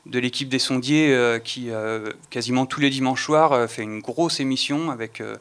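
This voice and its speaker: A man speaking informally as a guest on a panel, with hesitant, halting phrasing. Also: medium pitch, a bit bright, clean tone. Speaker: audio fiction creator, guest. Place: radio-style panel recording.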